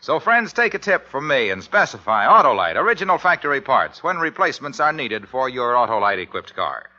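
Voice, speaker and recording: A man's voice: mid-range voice, sing-song, brisk, pushed and bright. An American man delivering a scripted mid-century radio commercial as an emphatic, folksy salesman. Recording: dull old radio recording.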